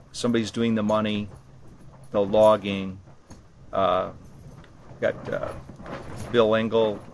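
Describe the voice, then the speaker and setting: A man giving unscripted explanations in an interview, halting and matter-of-fact in his delivery. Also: lowish voice. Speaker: expedition team member being interviewed. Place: ham radio operating station on a remote island. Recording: clear background noise, on-site ambience.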